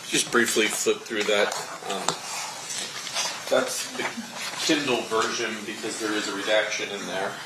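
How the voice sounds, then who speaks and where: An American man questioning a witness as prosecutor in court, halting and feeling for his words. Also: fairly low pitch. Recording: echoey courtroom, distant mic, clear noise.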